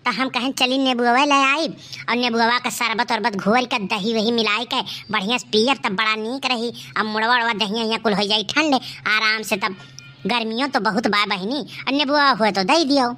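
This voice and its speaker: A woman plays a village housewife out bargaining in a cartoon. Her voice is fairly high and forceful, with an Awadhi accent, and she bickers and complains in a huffy way. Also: moderate pace. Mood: coaxing. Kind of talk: cartoon comedy dialogue.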